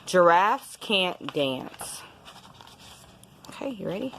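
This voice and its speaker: A woman reading a rhyming picture book aloud to a young child in a deliberate storytelling style. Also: on the low side, big pitch swings, fairly bright tone. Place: indoors at home, book laid on a bed.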